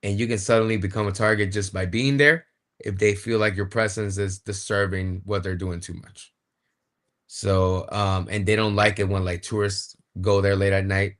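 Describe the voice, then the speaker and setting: A man's voice: fairly low pitch, fairly even intonation, normal speed, a bit bright. A man, a wrestler, chatting as an interview guest and explaining things in a plain-spoken, casual way.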